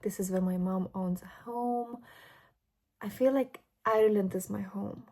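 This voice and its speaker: A woman's voice: medium pitch, fairly even intonation, a little slow, darkish tone. A Lithuanian-born woman in her late twenties, a vlogger talking earnestly and personally in a reflective monologue, with occasional fillers. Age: late 20s. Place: grandmother's home indoors.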